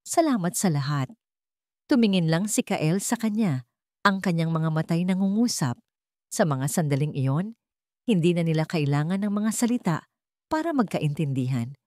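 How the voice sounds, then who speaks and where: A woman storyteller with a fairly low voice narrates a dramatic story from a script. The sound is dry, with very little room in it. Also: animated, normal speed, warm tone, dry recording.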